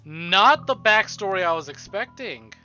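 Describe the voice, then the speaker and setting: A man with a slightly high voice streams a story game, switching between narration and animated, sweary commentary. The audio is a bit dull, with music clearly playing behind him. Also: unhurried. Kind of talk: live playthrough commentary, reading visual novel text aloud.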